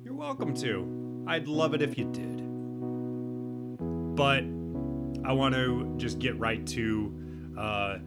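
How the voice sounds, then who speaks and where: A man's voice: mid-range voice, very animated, takes it slowly, pauses often, loud music behind the voice. A man hosting his own podcast, talking casually with many fillers and restarts, swearing now and then. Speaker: podcast host.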